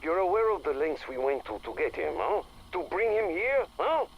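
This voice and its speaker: An actor playing a menacing, sardonic crime boss in a game audio log, gravelly and taunting, often prodding with a "huh". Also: male voice, high-pitched, big pitch swings, normal speed, highs cut off, slight background noise. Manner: taunting, tags questions with "huh".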